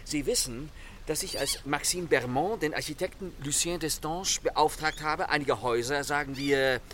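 A man playing a suave, mocking gentleman thief in a German radio play, with a theatrical, commanding manner. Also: fairly high pitch, moderate pace, slight background noise, lightly acted. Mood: smug, irritated. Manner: theatrical, urbane, playfully threatening.

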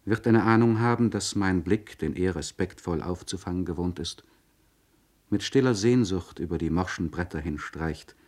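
A man playing a melancholy, introspective aristocratic landowner in a German radio play, speaking in measured, solemn, formal literary phrases. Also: sits low, a little mellow, close to an everyday voice.

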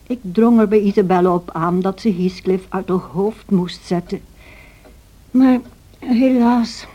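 Mellow, earnest female storyteller played by an actress. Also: medium pitch, measured pace, lightly acted. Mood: narrating. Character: plain-spoken, disapproving housekeeper recounting the household's troubles. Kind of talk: scripted first-person narration in radio drama. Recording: dull lo-fi old radio recording.

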